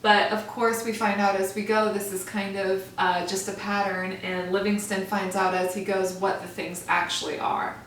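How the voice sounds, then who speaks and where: A woman teacher reading a picture book aloud to children in a gentle, expressive storytelling manner. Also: mid-range voice, normal speed, hardly any pauses, a little hiss or hum. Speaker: first grade teacher. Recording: echoey room, far from mic.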